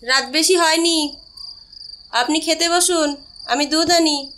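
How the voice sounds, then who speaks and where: A woman's voice: high-pitched, slow, sharp tone, slight background noise, full character voice. A woman playing a stern, practical mother and daughter-in-law in an audio story, speaking firmly. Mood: matter-of-fact. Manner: firm, scolding. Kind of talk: audio story character acting.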